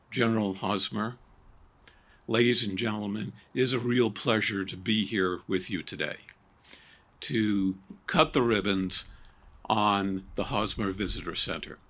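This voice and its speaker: An older man from Maine, a former cadet, giving formal remarks at a ceremony, measured and stressing key words with rising emphasis. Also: on the low side, a bit bright.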